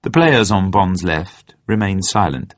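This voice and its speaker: A man narrating a novel as a scripted dramatic reading, in a measured, deliberate storytelling manner. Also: low voice, lively intonation. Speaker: audiobook narrator. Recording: roomy, slightly dull sound.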